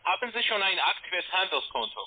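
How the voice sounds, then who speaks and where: A man's voice: high-pitched, very animated, a little fast. A man with a foreign accent making a sales call as a call center agent on a tinny telephone line. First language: not German.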